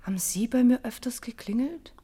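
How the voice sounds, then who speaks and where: An actress playing a fragile, weary woman in her thirties in a German radio drama, halting and stammering, often breathy. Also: fairly high pitch, mellow. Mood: faint, weak. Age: 30s. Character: fragile, weary, withdrawn woman of thirty-two working night shifts.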